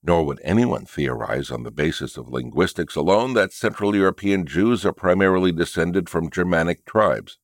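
A man with an extremely deep, low voice narrates a nonfiction book chapter. The delivery is scripted read-aloud narration, and the recording is dry and close, free of room sound. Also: expressive, moderate pace, hardly any pauses, dry recording. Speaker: audiobook and podcast narrator.

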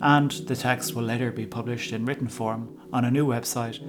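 A man's voice: mid-range voice, steady tone, moderate pace, music in the background. A man hosting a podcast, presenting from a prepared script. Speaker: podcast host.

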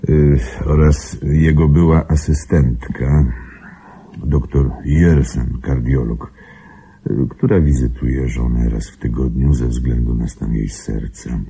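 A very deep, gravelly, guarded husband. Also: male voice, steady tone, dark tone, heavily acted. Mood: formal, guarded. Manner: formal, measured, controlling. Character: grave, secretive wealthy husband guarding his invalid wife. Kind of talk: radio drama acting.

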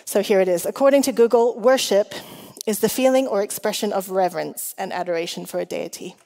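Woman, middle-aged, mixed international accent, conversational sermon, chatty, self-deprecating, long hall reverb, mid-range pitch. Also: brisk, gravelly, mellow. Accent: mixed international, shifting. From Hong Kong. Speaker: guest preacher.

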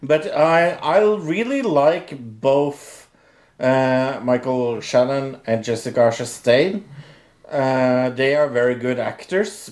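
Laid-back podcast co-host, a man with a mid-range voice, slow and halting, teasing. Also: mid-range voice. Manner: slow, hesitant, teasing, with many restarts. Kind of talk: casual TV review chat.

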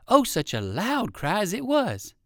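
Animated man reading a children's story. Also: high voice, unhurried, no echo, clean studio sound. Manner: expressive, chatty asides to young listeners. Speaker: audiobook narrator. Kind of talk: scripted children's storybook narration.

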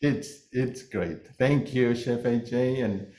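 A man in his fifties, a guest cook demonstrating a recipe in a halting, casual way. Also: medium pitch, warm tone, echoey room. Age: 50s. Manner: halting, many fillers and restarts.

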